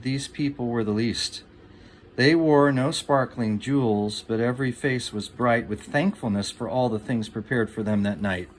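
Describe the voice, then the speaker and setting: Older man reading a storybook, very animated. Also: mid-range voice, a little hiss or hum, rough recording. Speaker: grandfather reading aloud to a young child. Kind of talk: expressive storybook narration.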